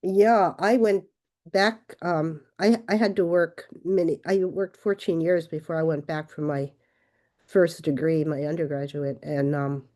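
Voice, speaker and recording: A hoarse older woman getting over a cold, answering interview questions hesitantly over a video call. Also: sits low, fairly even intonation, a little slow, mellow. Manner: hesitant, with many ums and restarts. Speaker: guest author.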